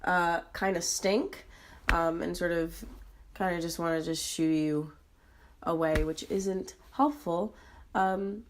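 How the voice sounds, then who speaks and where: Hoarse woman vlogging candidly. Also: lowish voice, fairly even intonation, slight background noise. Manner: earnest, confessional, with frequent ums and pauses. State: hoarse and sick. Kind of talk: candid personal vlog.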